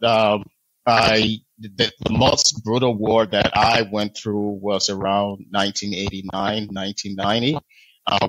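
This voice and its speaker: A man from Liberia, in his thirties, telling his life story as a podcast guest in a measured way, with many pauses and restarts. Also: lowish voice, a bit bright, no room sound, rough recording. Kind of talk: interview storytelling.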